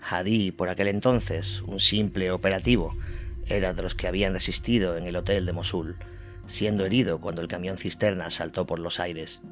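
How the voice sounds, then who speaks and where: Grave male narrator, very low voice, measured delivery, war audio drama, music bed. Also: steady tone, fairly bright tone. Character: male narrator telling soldiers' tragic histories. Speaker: second narrator.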